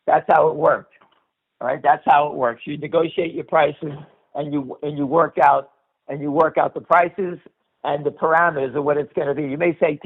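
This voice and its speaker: Higher-voiced man on a tinny phone line. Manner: emphatic, blunt, insistent; repeats phrases and tags with "all right?". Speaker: host of an investor conference call. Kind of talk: unscripted stock market commentary.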